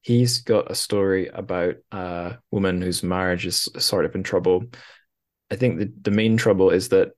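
Man, deep pitch, podcast host, casual literary chat, informal, loosely assembled thoughts. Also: steady tone, moderate pace. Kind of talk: casual conversational literary discussion.

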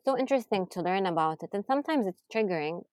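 A woman from Saudi Arabia in a casual podcast conversation, reflective and soft-spoken, often drifting quieter. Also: mid-range voice, animated, quick pace, dry recording, studio quality. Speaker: podcast guest.

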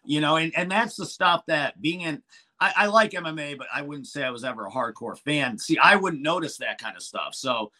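A man's voice: fairly high pitch, expressive, a little fast, pushed and bright. A man, a wrestling manager and commentator, chatting casually in a podcast interview with frequent restarts and fillers.

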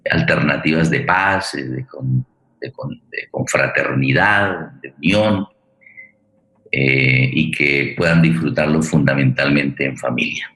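A man, speaking formally and haltingly as he welcomes listeners and introduces an online radio theatre programme. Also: rumbling low, a bit bright. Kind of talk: spoken introduction to a live online forum. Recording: roomy, echoing sound.

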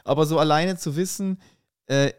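A man from Munich with a Munich accent and a rolled R, a podcast guest who talks in a relaxed, thoughtful way, hedging a lot. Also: a little high, normal speed, smooth. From Munich, Germany. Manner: relaxed, thoughtful, many hedges. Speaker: podcast guest, stand-up comedian. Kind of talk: casual podcast chat.

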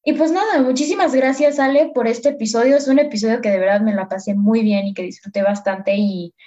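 Young woman podcast host, relaxed and chatty, slightly dull lo-fi sound. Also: on the high side, fairly quick. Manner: friendly, rambling, laid-back. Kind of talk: casual chat.

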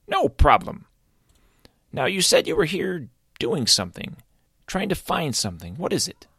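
A man's voice: huge pitch swings, normal speed, vocal fry, bright, forceful tone, slightly rough, dry recording, studio quality, exaggerated voice. A man voicing a small, kind, blue-skinned male creature who welcomes a stranger into his home. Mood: friendly. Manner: high, eager, welcoming.

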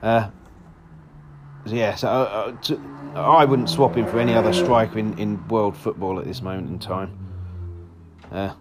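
A man with a hoarse voice, hosting a solo football podcast in a rambling, casual way with lots of restarts. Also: on the low side, steady tone, normal speed, noisy background. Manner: rambling, frequent restarts and fillers.

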